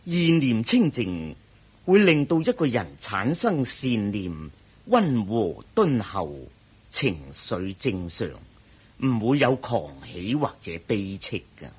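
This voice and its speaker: An actor playing a solemn, humble ascetic Buddhist monk who teaches patiently in measured Hong Kong Cantonese, in radio drama style. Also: male voice, medium pitch, sing-song, husky, heavily acted. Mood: calm, encouraging. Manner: measured, deliberate, didactic.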